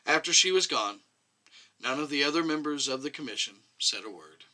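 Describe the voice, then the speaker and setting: Measured male story reader, crisp bright edge. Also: fairly high pitch, lively intonation, low-quality recording. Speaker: audiobook-style reader. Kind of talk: scripted story narration.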